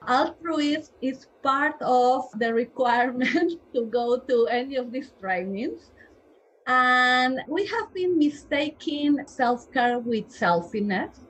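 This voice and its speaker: A woman's voice: high voice, takes it slowly, breathy edge, low-quality recording. A woman in her forties from Peru with a Peruvian Spanish accent, a physician guest speaking earnestly and emphatically in a podcast interview.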